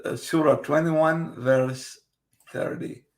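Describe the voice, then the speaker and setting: A man whose first language is Kurdish, hosting a video interview and explaining his book haltingly, with many fillers and restarts. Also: a little high, steady tone. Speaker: host presenting his book.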